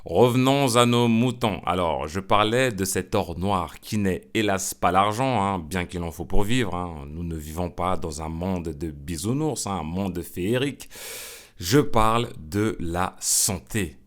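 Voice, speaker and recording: A middle-aged man hosting a solo podcast, joking and chatty, full of asides and self-mockery. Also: measured pace. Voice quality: smooth low voice. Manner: playful, self-mocking, lots of asides and "hein". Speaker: podcast host. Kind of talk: casual solo podcast monologue.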